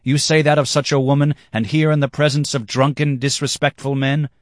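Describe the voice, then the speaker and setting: A man's voice: medium pitch, expressive, normal speed, dry recording, clean studio sound, pitched above the performer's own voice, lightly acted. A man voicing a cheeky young man in a story, polite but impudent, with pointed questions. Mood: indignant.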